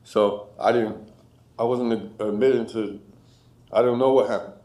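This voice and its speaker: A man's voice: fairly low pitch, fairly even intonation, normal speed, mellow. A man with an American accent answering questions in court as a defendant, plain-spoken and defensive. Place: indoor courtroom. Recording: echoey room sound.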